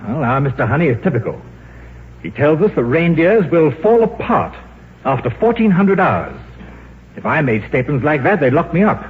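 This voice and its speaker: An actor in a 1950s radio drama playing a brusque, sarcastic senior boss, pompous and commanding, with a husky voice. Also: male voice, on the high side, very animated, normal speed, heavily acted. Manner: pompous, scornful, barking orders. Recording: tinny, band-limited 1950s radio recording.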